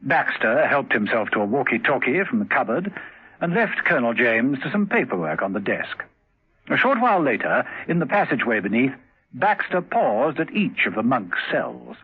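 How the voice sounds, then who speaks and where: A man's voice: mid-range voice, sing-song, moderate pace, husky. A man narrating a 1970s radio adventure drama from a script. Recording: muffled old 1970s radio recording.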